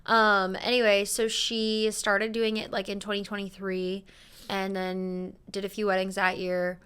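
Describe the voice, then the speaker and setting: A young woman from the American Midwest co-hosting a chatty podcast. Her voice is smooth and clear at a middle pitch, and she rambles through stories with plenty of "like" and "um.". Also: level tone, unhurried, fairly bright tone. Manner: chatty, filler-heavy, storytelling. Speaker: podcast co-host. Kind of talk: casual chat.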